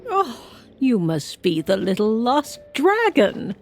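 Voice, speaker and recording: A woman's voice: a little high, very animated, slow, faint music behind, full character voice. A woman voicing a proud seer witch who delivers a prophecy in a commanding, solemn way. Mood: gentle. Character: haughty seer witch prophetess, stern and grand.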